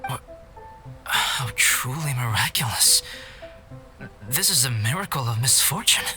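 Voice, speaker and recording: A young alchemist in an anime game. He is polite, detached and scholarly, with a measured, formal delivery at medium pitch and clear music underneath. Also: animated, pushed and bright. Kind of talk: anime video game voice acting.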